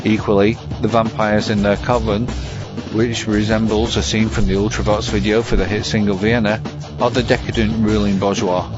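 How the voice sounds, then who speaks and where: A man presenting scripted film commentary in a measured, wordy, mock-academic manner. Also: on the low side, little pitch movement. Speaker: segment presenter, vampire film reviewer. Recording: dull audio over music bed.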